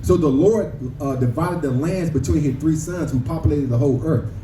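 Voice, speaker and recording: High mellow guy preaching, calls everybody brother, kinda far off in an echoey spot. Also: a little fast. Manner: calls listeners "brother", asks for verses to be read. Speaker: street preacher. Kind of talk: street preaching and debate. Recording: echoey, distant, with ambient noise.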